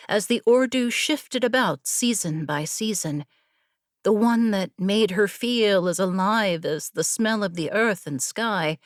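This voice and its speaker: A woman narrating a scripted story as an audiobook, in a measured, literary storytelling manner. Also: medium pitch, dry recording, clean studio sound.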